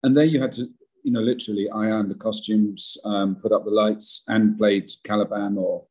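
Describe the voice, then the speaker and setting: A man's voice: lowish voice, steady tone, normal speed, a little mellow. An older man, an actor, talking in a podcast interview, rambling and self-deprecating with many restarts and a big voice. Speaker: actor guest.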